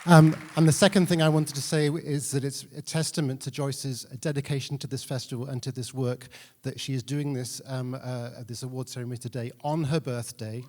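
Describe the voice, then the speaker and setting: A man's voice: mid-range voice, steady tone, brisk, hardly any pauses, warm tone, a little hiss or hum. A man giving an informal, somewhat hesitant tribute speech as an editor at an awards ceremony. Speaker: newspaper arts editor.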